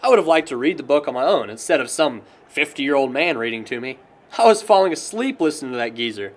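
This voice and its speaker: A man's voice: medium pitch, expressive, quick pace, close to an everyday voice. A young American man acting as a wry, sarcastic, bookish friend in film dialogue. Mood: reminiscing. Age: young adult. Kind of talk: naturalistic amateur film dialogue.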